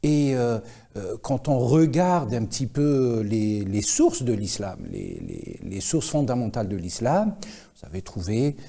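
A man in his forties giving explanations as an expert interview guest, with formal, hesitant, deliberate phrasing. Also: medium pitch, wildly swooping pitch, gravelly, mellow. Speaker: guest expert, Islamic scholar. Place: TV studio, indoors.

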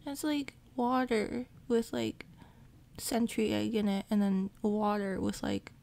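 A woman talking in a soft, breathy half-whisper, rambling and repeating herself in a casual ASMR chat while recovering from jaw surgery. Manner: rambling, repeats words in quick runs. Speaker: ASMR roleplay performer. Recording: low-quality audio with steady background machine noise.

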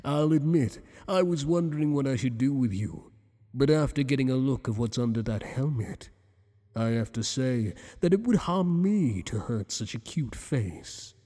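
A man playing a flirtatious, possessive tentacled alien boss in an ASMR roleplay, speaking in a teasing, courtly, deliberate way with long echoing reverb. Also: mid-range voice, animated, warm tone, close to an everyday voice. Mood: seductive, coaxing.

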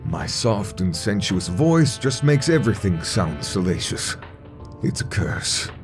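An actor voicing a smug elf wizard train attendant with a soft, sensuous voice, in a comic dub. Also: male voice, low-pitched, sing-song, a little slow, music in the background. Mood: sly. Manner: sly, suggestive.

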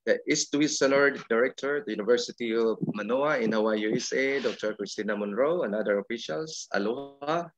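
A man with a Filipino accent makes formal opening acknowledgments in a mid-range voice. He sets out names and titles deliberately, and the recording is dry but slightly dull. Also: mid-range voice, dry recording. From Philippines. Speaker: education supervisor giving opening greetings. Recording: dull lo-fi audio.

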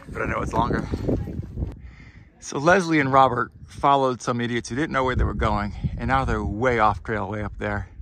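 A man narrating his climb up a desert mountain trail in an upbeat, chatty way, filming as he goes. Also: mid-range voice. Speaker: hiking vlogger. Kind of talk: casual hiking commentary. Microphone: handheld camera or phone. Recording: outdoor wind and ambient noise.

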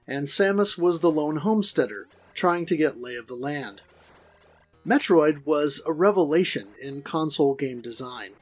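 A middle-aged man delivers a scripted review. His voice sits a little high, and his phrasing is wordy and theatrically formal. Music plays lightly behind him. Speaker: host of a video game review series.

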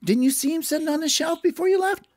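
A woman's voice: high-pitched, fast, darkish tone, pitched above the performer's own voice, lightly acted. A woman voicing a chatty, kindly little elf in a children's Christmas story. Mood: eager. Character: friendly, reassuring little pointy-eared Christmas elf.

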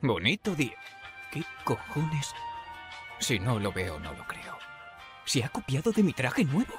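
A man's voice: mid-range voice, huge pitch swings, measured pace, music in the background. A man with a Castilian Spanish accent doing comic game dubbing as a sarcastic, exasperated, wisecracking superhero who swears theatrically. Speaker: video creator dubbing game bugs.

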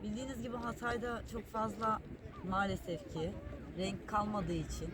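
A woman's voice: medium pitch, fairly even intonation, moderate pace. A woman giving polite, casual explanations in an interview, recorded outdoors by a harbour. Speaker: art teacher painting a mural. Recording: loud wind and boat engine noise.

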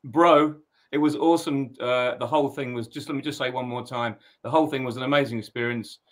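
An upbeat young man from the UK, talking as a guest on a home video call. He is chatty and pokes fun at himself. His voice sits at an ordinary middle pitch for a man. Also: fairly even intonation, brisk. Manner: upbeat, chatty, self-mocking. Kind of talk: casual live chat. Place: indoors at home.

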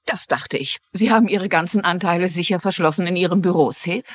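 A woman's voice: on the low side, fairly quick, no room sound, lightly acted. A woman playing a shrewd, courteous older amateur sleuth in a dubbed TV drama, polite and probing. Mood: probing, grave.

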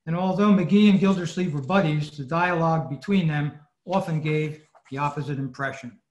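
A man giving an informal talk as a club presenter on a video call, halting and hesitant. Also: on the high side, highs cut off. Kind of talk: halting informal lecture over slides. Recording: roomy video-call audio.

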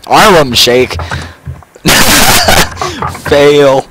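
A man's voice: fairly high pitch, big pitch swings, extremely slow, heavy background noise. A teenage boy chatting casually while playing a game, goofy and teasing, laughing often. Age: teens. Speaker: gamer recording gameplay. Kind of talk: casual gameplay chat.

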